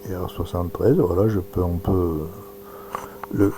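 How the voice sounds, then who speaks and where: A man giving an informal live demonstration, talking haltingly as he thinks aloud through each step. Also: low voice, fairly even intonation, warm tone, a little hiss or hum. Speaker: presenter demonstrating amateur radio software.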